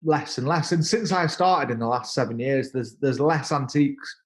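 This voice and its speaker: Halting man in his thirties. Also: medium pitch. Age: 30s. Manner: halting, repeats words and restarts often. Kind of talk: casual interview conversation.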